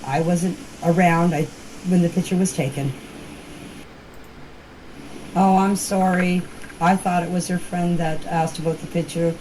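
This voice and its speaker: A woman's voice: on the low side, fairly even intonation, a little slow, long pauses, a little mellow. An older woman informally recounting events in a hesitant, repetitive way, as if giving her own account in voice messages. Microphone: phone voice messages. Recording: noisy amateur voice message recording.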